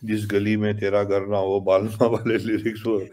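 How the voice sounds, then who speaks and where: An older man with an Indian accent, a guest author speaking quickly over a video call and mixing English with Hindi. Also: on the low side, little pitch movement, darkish tone. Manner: rapid, switches between English and Hindi. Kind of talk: conversational interview. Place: home room, video call.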